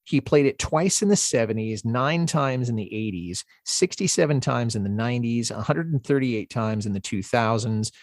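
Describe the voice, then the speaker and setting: A man's voice: medium pitch, lively intonation, normal speed, few pauses, a little mellow. A man with a mid-range voice hosts a music discussion. He talks in a conversational, explanatory way. The sound is dry and close, free of room echo. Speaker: podcast host.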